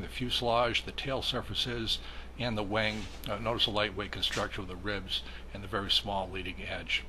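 Bright-voiced man narrating a hobby review. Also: medium pitch, a little hiss or hum. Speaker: model airplane hobbyist reviewer. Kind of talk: scripted product review narration.

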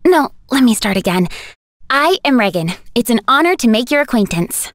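Young game heroine, high voice, eager, energetic, dry studio sound. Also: female voice, moderate pace, no echo. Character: cheerful young woman, earnest and eager. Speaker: game character. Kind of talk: game voice clips.

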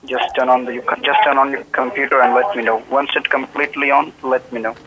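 A man's voice: mid-range voice, flat delivery, fast. A man posing as computer support on the telephone, giving repetitive instructions. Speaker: phone scam caller posing as computer support. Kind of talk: phone tech-support instructions. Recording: noisy telephone line with clicks.

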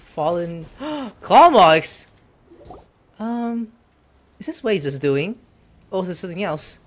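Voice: mid-range voice, lively intonation, takes it slowly. A streamer giving casual gameplay commentary, playful and exclamatory, recorded in a room at home. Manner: playful, crude joking, exclamatory. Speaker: gaming streamer. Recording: game music behind.

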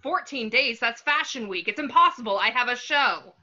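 A woman's voice: a little high, lively intonation, normal speed, sharp tone. A woman voicing a panicky, protesting male fashion designer villain in an amateur comedy read. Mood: panicked protest. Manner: exclaiming, protesting. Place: home, on a group video call. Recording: muffled, noisy video-call audio.

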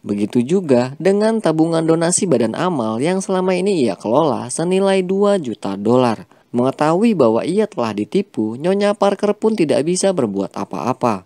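A man reading a scripted film plot recap as a voice-over, with a steady storytelling delivery. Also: fairly high pitch, normal speed, no room sound. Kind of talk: scripted narration.

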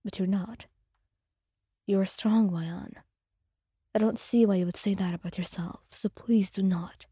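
Gentle protective male dragon spirit, hushed and formal, voiced by a woman; husky, dry close sound. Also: mid-range voice, steady tone, unhurried, pauses often, no echo. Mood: tender. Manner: soft whispered, formal and polite.

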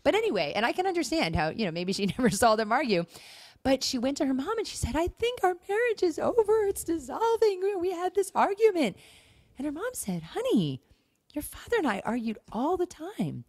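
A woman's voice: sits high, very animated, fairly quick, a little mellow, no room sound. A middle-aged American woman co-hosting a podcast in casual conversation, chatty and emphatic, repeating words for stress. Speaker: podcast co-host.

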